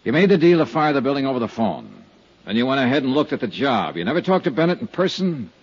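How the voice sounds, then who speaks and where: A hard-nosed American private investigator, with a husky voice that moves up and down a lot. He presses bluntly and skeptically, as in a vintage radio detective serial, on a dull 1950s recording. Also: mid-range voice, very animated, quick pace. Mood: probing.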